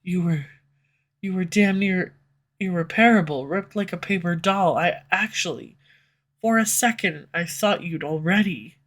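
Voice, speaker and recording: A woman's voice: fairly low pitch, a little slow. A woman voice actor playing a proud, guarded female villain who swears and turns defensive when pushed, recorded on a USB condenser mic. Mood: somber, confessional. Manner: curses often, defensive and flustered when pressed. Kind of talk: audio roleplay acting. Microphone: USB condenser microphone.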